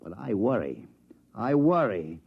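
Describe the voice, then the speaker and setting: An actor playing a grumbling, cynical veteran department chief with gruff, exasperated emphasis, in 1950s radio drama style. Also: male voice, on the low side, sing-song, measured pace, heavily acted. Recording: dull vintage radio recording.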